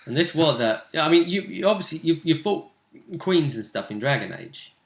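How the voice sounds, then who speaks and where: A man's voice: a little high, very animated, moderate pace, vocal fry. A man casually recapping a tabletop role-play session as its game master, in conversation. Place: indoor room. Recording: roomy echoing home recording.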